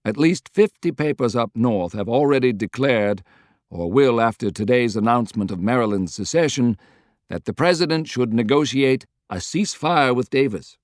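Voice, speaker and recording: A man's voice: medium pitch, animated, normal speed, dry recording, clean studio sound, lightly acted. A man voicing a loyal, good-humored adviser in an audiobook, briefing frankly and earnestly. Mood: confiding.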